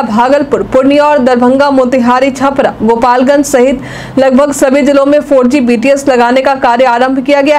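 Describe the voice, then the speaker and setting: Young female news anchor: high, level voice, steady studio read, faint hiss. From India. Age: young adult. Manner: steady, even newsreading delivery.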